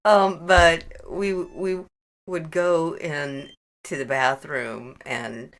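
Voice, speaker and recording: A middle-aged woman reminiscing as a podcast guest in a halting, informal, giggly way. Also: low-pitched, fairly even intonation, a bit bright. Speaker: podcast guest, a host's mother. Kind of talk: casual reminiscing chat.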